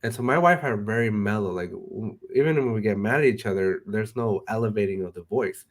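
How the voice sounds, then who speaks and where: Relaxed chuckling male host. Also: on the low side, brisk. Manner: relaxed, chuckles often, conversational. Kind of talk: casual interview chat.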